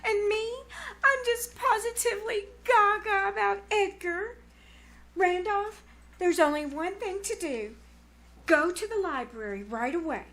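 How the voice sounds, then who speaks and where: An actress playing a melodramatic, lovestruck high school girl in a gushing 1940s radio comedy style. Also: unhurried, a bit bright, exaggerated voice. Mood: dismayed, dramatic. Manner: gushing, exclamatory, theatrical. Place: live on stage in a small theater.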